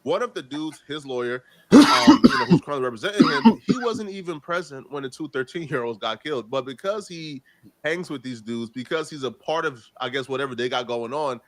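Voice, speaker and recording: A man hosting a reaction show, giving casual, animated commentary in slangy street talk. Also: medium pitch, a little fast, low-quality recording. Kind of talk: casual commentary on court news.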